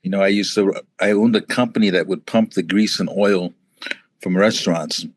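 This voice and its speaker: A man in his forties from Hawaii, guesting on a podcast. His voice is very deep and recorded dry and close. He tells stories in an easygoing, conversational way. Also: fairly even intonation, moderate pace, husky, no echo. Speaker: guest, motivational speaker. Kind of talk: casual interview.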